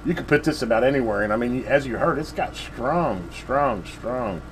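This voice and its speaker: A man's voice: medium pitch, lively intonation, normal speed, rough recording. Laid back guy rambling thru a radio review, lots of uhs, faint hum in the room. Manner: rambling, easygoing, full of "uh" fillers. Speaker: radio repair video host. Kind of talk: casual hands-on review chat. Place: home ham radio station room.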